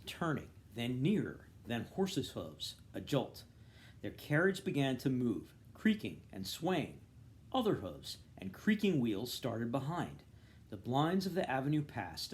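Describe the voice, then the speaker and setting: A man narrates a novel in an expressive literary reading. His voice sits at a medium pitch and moves with very lively, varied intonation. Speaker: reader of a novel, volunteer.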